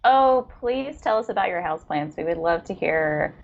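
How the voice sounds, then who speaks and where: A woman's voice: on the low side, animated, normal speed, creaky, lo-fi sound. A woman co-hosting a podcast in an effusive, appreciative, casual chatting style. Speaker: podcast co-host interviewing a writer. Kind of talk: casual chat.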